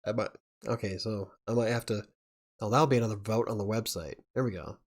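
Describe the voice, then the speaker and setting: A man with a fairly low voice hosts a loose live chat. He rambles, laughs between thoughts and speaks. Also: steady tone, a little fast. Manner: rambling, chuckling often. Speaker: livestream host.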